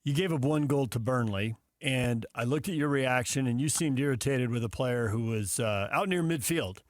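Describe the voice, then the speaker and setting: A man's voice: medium pitch, normal speed, no echo. A man hosting a sports radio interview, putting long, conversational, anecdotal questions to his guest.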